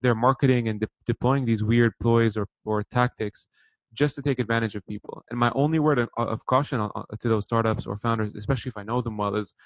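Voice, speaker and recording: A man's voice: mid-range voice, fairly even intonation, fast, no room sound. A man from Lebanon hosting a podcast interview, chatting casually and in an informal, chummy way. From born in Lebanon, grew up partly in Saudi Arabia. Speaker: podcast host interviewing a guest.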